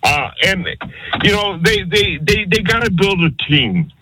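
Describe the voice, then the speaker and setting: A man's voice: high voice, lively intonation, unhurried, pushed and bright. Older man calling in on a noisy line. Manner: blunt, rambling sports fan. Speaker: caller. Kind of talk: call-in radio chat.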